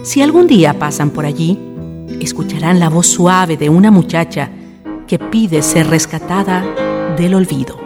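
A woman's voice: fairly low pitch, animated, a little slow. A woman narrating a scripted radio drama in a literary storytelling style. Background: guitar music.

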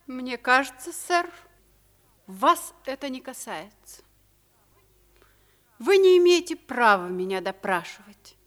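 A woman's voice: sits high, very animated, slow, long pauses, full character voice. A woman acting in a radio play as a loyal young maid, deferential and hesitant yet stubbornly guarded. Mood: guarded, indignant.

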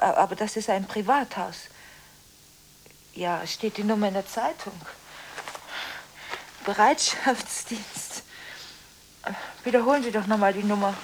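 High-pitched, frantic woman on the telephone played by an actress. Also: slight background noise, natural voice, rough recording. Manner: halting, agitated, repeating words and breaking off. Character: distraught, contradictory woman making frantic phone calls. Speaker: lead actress in a radio play. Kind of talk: 1980s German radio drama acting, telephone monologue.

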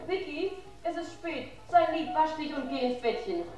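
A woman's voice: sits high, lively intonation, normal speed. An actress playing a harried, firm young widowed mother in a radio crime drama, polite but guarded. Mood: placating. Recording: roomy radio drama recording, faint music.

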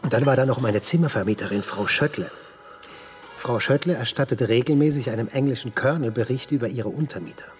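A man's voice: medium pitch, darkish tone, lightly acted. Wry, laconic, hard-bitten man played by an actor. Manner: laconic, dryly ironic. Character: wry, hard-bitten German printer and former camp prisoner. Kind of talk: radio crime drama acting.

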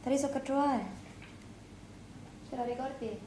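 An actress playing an anxious, tender Sicilian sister at a wake in a stage drama, with a Sicilian accent. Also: female voice, sits high, steady tone, measured pace, mellow, roomy sound, a little hiss or hum. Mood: tender, nostalgic. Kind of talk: Sicilian dialect stage theatre acting.

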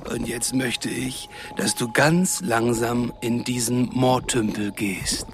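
A man's voice: mid-range voice, slow, slight background noise. A man playing a sinister, commanding villain in a radio play, coldly polite and menacing. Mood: cold menace. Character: sinister, commanding male villain with mind-controlling power.

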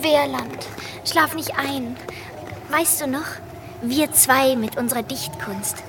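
An actress in a German radio play voicing a lively, playful, romantic young baroness who teases and pleads poetically. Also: female voice, high voice, slow, vocal fry, far from the mic, background noise, heavily acted. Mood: teasing, dreamy. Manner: exuberant, pleading, poetic, theatrical.

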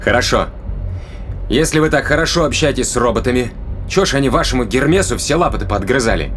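A man's voice: mid-range voice, animated, fast talker, fairly bright tone, a little hiss or hum, natural voice. An actor playing a blunt, impatient male interrogator in an audio drama, probing and demanding in colloquial speech. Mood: insistent, puzzled.

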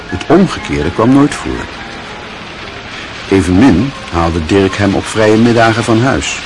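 A man narrating a radio play in a measured storytelling manner. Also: medium pitch, rough recording.

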